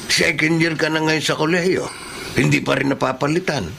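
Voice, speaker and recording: Gravelly kindly Filipino father. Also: fairly high pitch, fairly even intonation, moderate pace, noisy background. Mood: caring, insistent. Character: kindly middle-aged father gifting his college-age son. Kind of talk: Filipino radio drama acting.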